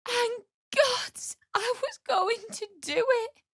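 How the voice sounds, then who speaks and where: A woman's voice: very high voice, slow, fairly bright tone, no room sound, studio quality, full character voice. An actress playing a desperate, bitter young widowed mother-to-be in a video game, with a British accent and an emotional, pleading delivery. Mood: tearful, desperate. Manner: emotional, tearful, pleading, prone to snapping. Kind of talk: video game character acting.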